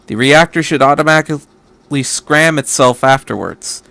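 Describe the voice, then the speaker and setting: A man voicing a brisk, resolute female military officer in a story, commanding and matter-of-fact. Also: fairly high pitch, creaky, bright, forceful tone, no echo. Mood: determined.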